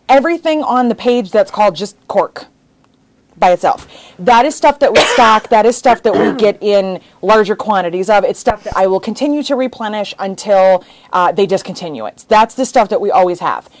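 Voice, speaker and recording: A woman's voice: mid-range voice, darkish tone, dry recording. A woman in her thirties hosting a casual sewing-shop live stream from her studio. She chats, laughs often and jumps between topics. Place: indoor sewing shop studio with bags on shelves.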